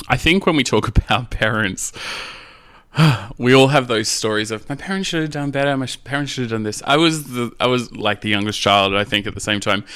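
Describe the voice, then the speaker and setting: An Australian man chatting casually and candidly as a podcast guest, telling stories in a cheeky, animated way and acting out bits of dialogue. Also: on the low side, smooth, no echo. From Australia, rural Queensland. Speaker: podcast guest, drag queen. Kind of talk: casual candid anecdotal chat.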